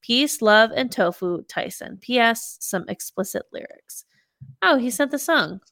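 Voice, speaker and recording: A woman's voice: a little high, lively intonation, a little slow, fairly bright tone, no room sound, studio quality. A woman in her thirties co-hosting a podcast, chatting casually and reading listener emails aloud. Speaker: podcast co-host.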